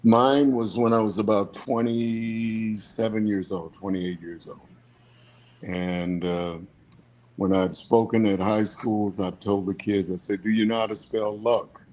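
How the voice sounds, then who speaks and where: A man in his seventies with a husky voice, giving casual, folksy advice as a podcast co-host. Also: on the low side, fairly even intonation, unhurried, darkish tone. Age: 70s.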